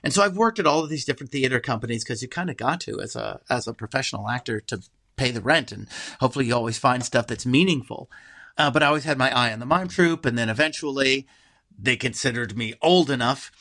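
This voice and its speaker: A middle-aged American man speaking conversationally as an interview guest, an animated storyteller who acts out remembered dialogue. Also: mid-range voice, fast, no echo, studio quality. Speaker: interview guest, theater playwright, actor and director.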